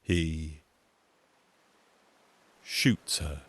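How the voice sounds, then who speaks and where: A man telling a folktale in a wry, conversational way with deadpan asides. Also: a bass voice, drawn-out words, breathy edge, dry recording. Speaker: storyteller and folk singer. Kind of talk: wry conversational storytelling with song.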